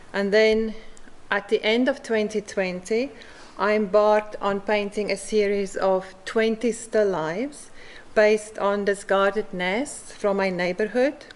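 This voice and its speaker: A woman with a South African accent presents her mosaic work at a forum. She speaks slowly and deliberately, with a mid-range voice, pausing for an "um" now and then. She sounds middle-aged. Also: mid-range voice. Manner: slow and measured, with frequent "um" pauses. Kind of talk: conference presentation.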